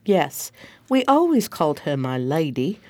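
A woman's voice: sits low, big pitch swings, measured pace, mellow. A woman playing a loyal, deferential police assistant who tells the story in a radio drama, composed and polite. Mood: composed, confiding. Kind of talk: radio drama narration and dialogue. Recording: faint background noise.

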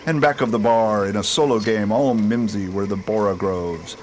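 A man's voice: fairly low pitch, moderate pace, a little hiss or hum, lightly acted. A man playing a wry, composed space expedition leader in a science fiction radio drama, speaking casually.

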